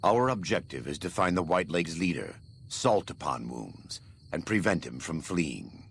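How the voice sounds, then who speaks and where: An actor playing a stern, vengeful warrior preacher in a video game, with solemn, deliberate biblical delivery. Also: male voice, a bass voice, big pitch swings, vocal fry, slightly rough, exaggerated voice. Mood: grave, determined.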